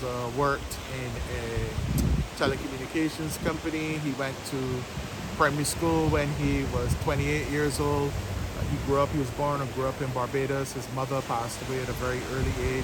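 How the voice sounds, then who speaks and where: Heavy wind and sea noise surrounds a man in his fifties with a slightly high voice. He explains things informally to camera from outdoors. His delivery is deliberate, broken into short phrases with pauses. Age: 50s. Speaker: vlog host, business owner. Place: outdoors by the sea, west coast of Barbados. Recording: loud wind noise on microphone.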